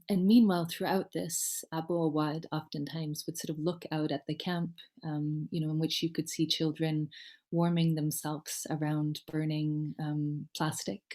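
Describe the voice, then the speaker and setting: A woman's voice: low voice, darkish tone. A woman giving a personal storytelling talk as a guest speaker, reflective and hesitant as she picks her words with care. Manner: reflective, hesitant, carefully worded. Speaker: guest speaker, humanitarian worker.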